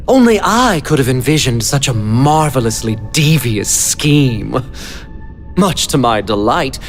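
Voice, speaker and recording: A gloating psycho villain from a jrpg, slow n theatrical, pitch all over the place, super flowery. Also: male voice. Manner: theatrical, gloating, flowery mock-polite speech, drawn-out words. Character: gleeful, devious male villain, theatrical sadistic psychopath. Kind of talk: anime-style video game villain acting. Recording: dramatic game music behind.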